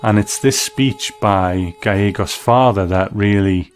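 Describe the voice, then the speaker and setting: A male host talks through a reflective review in a low-pitched voice. Soft music plays faintly behind his commentary. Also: a little slow.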